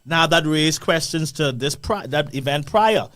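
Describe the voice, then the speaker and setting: A man co-hosting a radio panel show, calmly explaining his reading of events. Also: on the high side, a little slow. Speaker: radio talk show co-host. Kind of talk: casual radio panel discussion.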